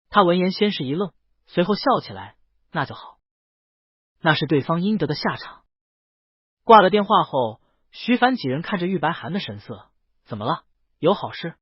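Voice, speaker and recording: Expressive higher-voiced man narrating a novel. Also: slightly rough, no room sound, lo-fi sound. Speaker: audiobook narrator. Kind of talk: scripted dramatic narration of a web novel.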